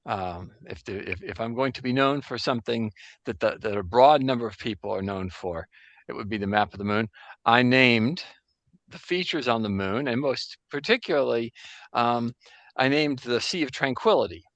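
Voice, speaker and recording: A man playing a 17th-century Jesuit astronomer priest in a casual improvised interview over a video call, halting and full of restarts. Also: mid-range voice, expressive, fairly bright tone, no echo. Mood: wry. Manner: halting, full of restarts and fillers, joking anachronisms. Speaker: guest in role-play.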